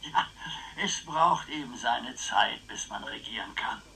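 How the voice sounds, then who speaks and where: An actor playing an eccentric, scheming older uncle in a radio crime drama, with a sly, theatrical, musing way of talking. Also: male voice, mid-range voice, animated, measured pace, creaky, sharp tone, husky, exaggerated voice, poor audio. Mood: musing, grandiose. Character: eccentric, scheming old uncle, grandiose and philosophical.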